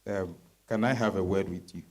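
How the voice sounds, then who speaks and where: An actor with a West African accent playing a married suitor in dramatized novel dialogue, hesitant, coaxing and earnest. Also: male voice, low-pitched, fairly even intonation, moderate pace, darkish tone. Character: smooth-talking married suitor, hesitant yet insistent husband.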